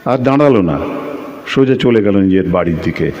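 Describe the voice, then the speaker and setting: A man's voice: on the low side, expressive, brisk, muffled recording, natural voice. An actor playing a shy, lonely young man who speaks hesitantly and repeats himself in an audio drama. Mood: wistful. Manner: hesitant, stammering, repeats phrases. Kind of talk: Bengali audio drama acting with narration. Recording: clearly noisy recording.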